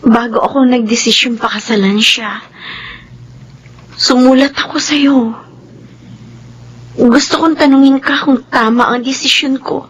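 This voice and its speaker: A timid Filipino young wife in a radio drama. Her voice is high and tender, she speaks slowly, and there is clear noise around her. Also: fairly even intonation, dull audio. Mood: subdued, tender. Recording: noisy ambience behind the voice.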